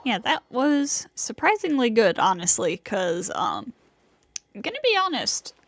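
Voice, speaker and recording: A woman's voice: on the high side, big pitch swings, a little slow. A woman game streamer giving casual, informal, understated livestream commentary.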